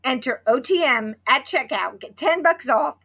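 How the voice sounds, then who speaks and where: Hoarse fifty-something lady chatting on a podcast, kinda high, bright sharp voice, keeps restarting. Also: normal speed. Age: 50s. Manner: chatty and excitable, with many restarts. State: hoarse, losing her voice. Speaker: podcast co-host. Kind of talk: casual podcast chat with sponsor read.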